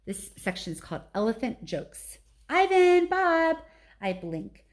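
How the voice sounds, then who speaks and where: A woman reading a children's storybook aloud as a teacher would, with animated, clearly phrased narration. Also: lowish voice, moderate pace.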